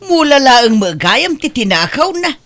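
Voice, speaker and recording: A woman playing a meddling, self-righteous senior woman in a comedic drama, nagging with drawn-out exclamations. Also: high voice, very animated, fairly bright tone, exaggerated voice. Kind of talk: comedic radio-style drama acting.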